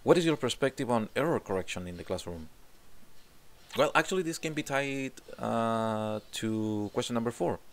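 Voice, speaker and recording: A man's voice: mid-range voice, lively intonation, moderate pace. A man in his forties from Chile, speaking English with a Chilean Spanish accent, answers interview questions at home into a desk mic and often repeats words while he searches for them. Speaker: job applicant, English teacher. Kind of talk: self-recorded interview answers. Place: home room with guitars on the wall. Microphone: desk condenser mic in frame.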